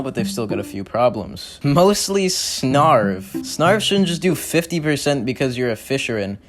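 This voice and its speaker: A young man giving blunt, slangy, exasperated opinions in a gaming commentary voice-over. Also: medium pitch. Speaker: gaming video creator. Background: music.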